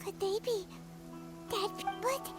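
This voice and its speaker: Childlike chatty fairy sidekick, very high squeak, unhurried pace, cartoonish video game, prominent music. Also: female voice. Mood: curious, puzzled. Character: tiny childlike female fairy companion, curious and chatty. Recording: loud music behind the voice.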